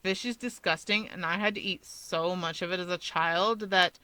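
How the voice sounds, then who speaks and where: A woman's voice: lowish voice, fairly even intonation, normal speed, pushed and bright, clean tone, dry recording, rough recording. A woman voicing a surly, sardonic young man with a drawling, dismissive manner in a story reading. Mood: irritated.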